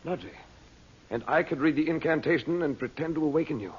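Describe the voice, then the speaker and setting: An actor playing a commanding, kindly magician hero in a vintage radio drama, earnest and clipped in delivery. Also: male voice, a little high, quick pace, close to an everyday voice. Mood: determined. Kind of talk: 1940s radio drama acting. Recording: muffled, noisy old radio recording.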